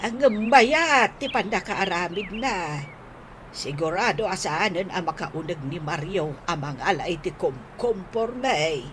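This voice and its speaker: A woman's voice: lowish voice, expressive, measured pace. Woman as a gossipy ilocano neighbour auntie in a radio comedy, critical, exclaims a lot, noisy behind. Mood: curious. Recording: noisy radio drama with ambience.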